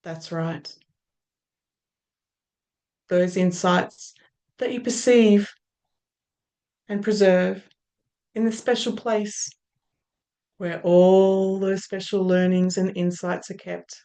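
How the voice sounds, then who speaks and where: A woman with an Australian accent guiding a hypnosis session, speaking in soothing, drawn-out phrases that trail off softly. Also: mid-range voice, fairly even intonation. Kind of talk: guided hypnosis induction.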